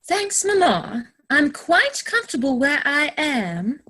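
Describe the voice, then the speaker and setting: A woman's voice: high-pitched, big pitch swings, slow, pushed and bright, exaggerated voice, rough recording. A woman playing a prim, self-assured young society lady in a stage comedy reading, affected, coy and precise. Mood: demure.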